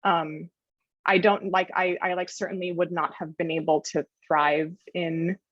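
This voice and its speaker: A woman's voice: on the low side, level tone, a little slow, no echo, muffled recording. A woman, a journalist, talking about her work, stuttering often with many fillers. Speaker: journalist and adjunct. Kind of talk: sharing experience at a panel.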